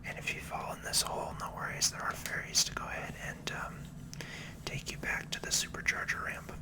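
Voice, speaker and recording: A person giving gentle, unhurried ASMR game commentary entirely in a breathy whisper. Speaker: gaming video host.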